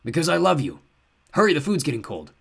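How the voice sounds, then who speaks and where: A man's voice: a little high, wildly swooping pitch, fast talker, gravelly, slightly rough, voice pushed up, full character voice. A man voicing a monster that pretends to be a kindly grandfather, coaxing children to open the door in an audiobook. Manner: coaxing, overly sweet.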